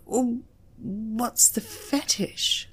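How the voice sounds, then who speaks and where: Woman voicing an anxious British young man, slow and bewildered. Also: on the high side, fairly bright tone, slightly rough, pitched above the performer's own voice, heavily acted. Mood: nervous, puzzled. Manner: hesitant, incredulous. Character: anxious, bewildered young male university student.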